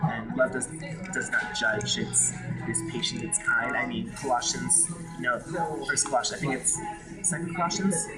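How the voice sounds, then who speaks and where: A man's voice: mid-range voice, steady tone, moderate pace, poor audio. A man from Hawaii telling his personal story in an interview, frank and emotional, often restarting his sentences. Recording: loud background music.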